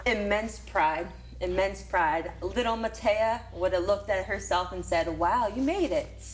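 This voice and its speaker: A woman's voice: mid-range voice, a little slow, slight background noise. Earnest woman teacher from Florida. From Florida, USA. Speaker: teacher, interview guest. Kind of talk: interview answers.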